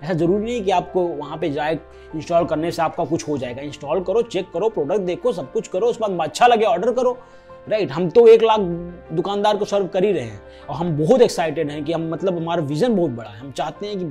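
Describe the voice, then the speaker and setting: Indian male founder-host, fairly high but very mellow voice, earnest and repetitive direct appeal, light music underneath. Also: animated, fairly quick. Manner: earnest, repetitive, punctuates with tag questions. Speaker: app founder and video host. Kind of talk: direct-to-viewer explainer and promotional appeal. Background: background music.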